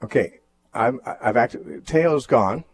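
A man hosting a radio call-in show, teaching on the Bible in a measured, thoughtful way with frequent fillers and restarts. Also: medium pitch, expressive, slightly rough, soft background music.

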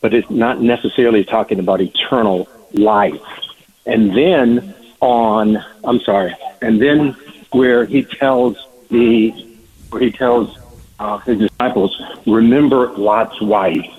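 A man's voice: fairly low pitch, takes it slowly. A male caller from Southern California politely asking a Bible question on call-in radio, over a telephone. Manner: polite, reads out scripture references. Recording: husky tinny phone line.

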